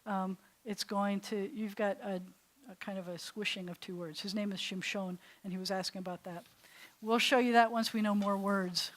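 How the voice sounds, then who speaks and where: A woman rabbi teaching a beginners' Hebrew class in a loose, chatty way, often restarting her sentences and sounding words out slowly. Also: medium pitch, fairly even intonation. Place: classroom or congregation hall.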